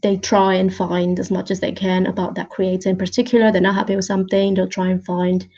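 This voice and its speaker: A woman giving thoughtful, conversational interview answers. Her voice is gravelly and dark, with a fairly even melody. She feels her way through her points a little hesitantly, on a slightly dull recording. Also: medium pitch, fairly quick. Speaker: interview guest, open-source intelligence researcher.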